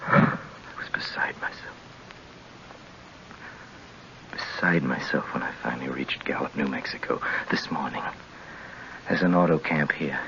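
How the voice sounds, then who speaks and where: A man's voice: rumbling low, normal speed, gravelly, pushed and bright, full character voice. An actor playing a haunted young man driving alone, in tense 1940s radio drama style that moves between whispers and frantic questions. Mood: shaken. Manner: tense, fraught narration that sinks to whispers and rises to frantic questions. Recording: muffled old radio broadcast with faint hiss.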